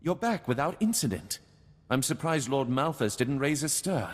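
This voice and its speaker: Man as a formal game baron, mid-pitched, lilting emphatic delivery, courtly, hall reverb. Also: animated, normal speed, close to an everyday voice. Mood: businesslike. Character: formal, businesslike noble baron, male patron. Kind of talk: scripted video game voice acting.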